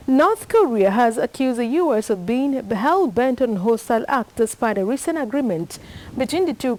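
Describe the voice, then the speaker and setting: A woman with a Nigerian accent anchors a television news bulletin. Her voice sits somewhat high. She reads from a script in a formal style. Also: darkish tone, low-quality recording.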